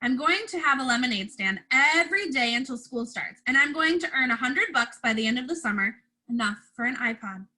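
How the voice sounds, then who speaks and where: A woman's voice: high-pitched, expressive, fairly quick, pushed and bright, heavily acted, rough recording. A woman voicing a resentful, hot-tempered schoolboy older brother in a storybook, accusing and blunt. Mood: scornful.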